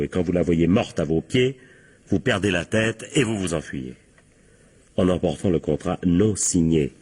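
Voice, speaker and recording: Police captain, very low pitch, rough-edged husky voice, sardonic, authoritative, relentless interrogation, radio drama. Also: male voice, darkish tone. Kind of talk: French radio crime play acting.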